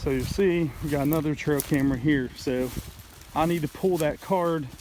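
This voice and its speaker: A man telling a story casually in a halting, rambling way, like an outdoor vlog host talking to the camera. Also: fairly high pitch, darkish tone. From United States. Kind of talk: casual play-by-play hunting storytelling. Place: outdoors in woods by a creek. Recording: noisy outdoor ambience.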